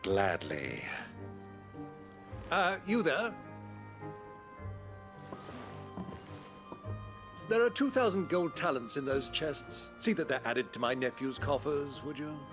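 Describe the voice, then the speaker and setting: A courtly, jovial uncle of noble birth in a fantasy game. His delivery is unhurried and very animated, genteel and wry, with some music underneath. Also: medium pitch. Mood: genial, courteous. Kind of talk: theatrical fantasy game dialogue.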